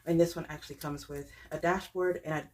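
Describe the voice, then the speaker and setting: A woman's voice: low voice, steady tone, brisk, a little mellow, slight background noise. A woman, chatting casually and rambling a little as she shows her budgeting products. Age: 30s. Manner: rambling, chatty, many fillers and restarts. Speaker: budgeting channel creator. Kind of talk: casual chat showing products.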